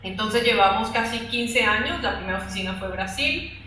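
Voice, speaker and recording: A woman's voice: mid-range voice, moderate pace, pushed and bright. A woman executive giving explanatory answers as an interview guest, often ending phrases with a questioning tag. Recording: very echoey room, faint background noise.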